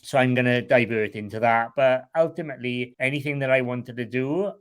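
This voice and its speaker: A man's voice: mid-range voice, brisk, smooth. A man with a Welsh accent, a guest talking candidly in an interview, frank and rambling, swearing casually. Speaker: guest, online seller.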